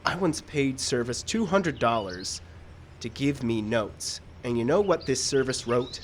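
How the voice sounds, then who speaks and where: A man's voice: mid-range voice, expressive, measured pace, lightly acted. A man acting a hesitant, downbeat screenwriter. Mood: rueful. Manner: hesitant, rueful, earnest. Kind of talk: live radio drama acting. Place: live radio show in an outdoor park. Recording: live outdoor stage recording, background noise.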